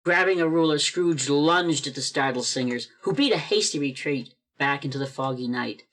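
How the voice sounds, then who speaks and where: A man's voice: on the high side, moderate pace, a bit bright. A man narrating a church radio play over a video call, reading expressively and building suspense.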